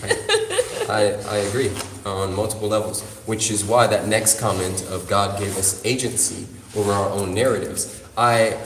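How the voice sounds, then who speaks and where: A man's voice: fairly low pitch, recorded from a distance, slight background noise. Emphatic man in an echoey room. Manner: emphatic, philosophical, sweary. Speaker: interview guest. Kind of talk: casual spoken discussion.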